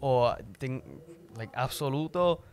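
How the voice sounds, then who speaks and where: A man who is a native English speaker, talking casually about faith as a podcast co-host, often pausing to find words and slipping into English. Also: mid-range voice. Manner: halting, searches for words, slips into English. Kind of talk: casual religious discussion.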